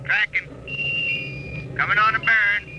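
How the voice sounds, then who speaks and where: An actor playing a tense spaceship gunnery officer in an old-time radio sci-fi drama, giving terse reports. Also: male voice, high voice, steady tone, slow, highs cut off, very noisy.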